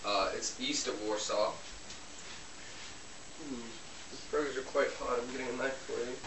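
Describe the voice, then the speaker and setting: A man plays a polite young Polish man who rambles and hesitates through every answer while cooking in a home kitchen. His mid-pitched voice is picked up by a camera mic across the room. The kitchen adds roomy echo, and a steady hiss runs under him. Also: moderate pace, close to an everyday voice. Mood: relaxed. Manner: hesitant, many ums and uhs, rambling. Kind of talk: amateur comedy sketch interview. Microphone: camera mic from a distance. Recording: roomy, distant, hissy home video.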